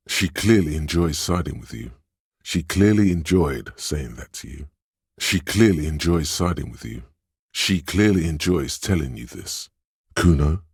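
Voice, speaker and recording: A sly inner voice from a video game, extremely low and thickly husky. He coaxes and insinuates in measured, breathy phrases, like a manipulator advising from inside the head. The sound is close and dry. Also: darkish tone, no room sound, full character voice. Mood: insinuating, then knowing, coaxing. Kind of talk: scripted video game voice acting.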